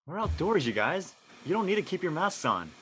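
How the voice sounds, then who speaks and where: A man's voice: high-pitched, very animated, fairly quick, fairly bright tone, slightly rough, full character voice. An actor playing a prying, contrarian teenage boy in casual, slangy drama dialogue. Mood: argumentative.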